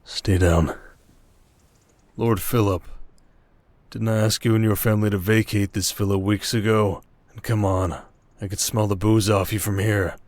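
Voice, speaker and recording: A man playing a stern, protective merchant lord in a roleplay audio drama, speaking in a dry, measured, commanding way. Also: fairly low pitch, fairly even intonation, darkish tone, no echo, lightly acted. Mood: stern, menacing.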